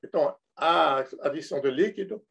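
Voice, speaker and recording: Male industrial consultant, northern French accent, a touch higher in pitch, halting lecture delivery. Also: creaky, a bit bright, breathy edge. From northern France. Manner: halting, many fillers and restarts. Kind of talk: technical lecture.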